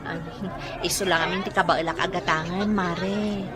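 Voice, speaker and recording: An actress playing a nagging middle-aged wife and mother who scolds her lazy husband and goes shrill when she shouts. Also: steady tone, normal speed, a loud crowd, close to an everyday voice. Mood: chatty.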